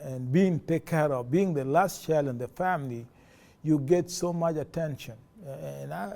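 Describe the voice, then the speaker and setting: A man in his forties from South Sudan, with a South Sudanese accent, recalling his village childhood in a halting way with many fillers and restarts. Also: medium pitch, vocal fry, dark tone. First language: a South Sudanese language. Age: 40s. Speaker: interviewee. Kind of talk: personal testimony.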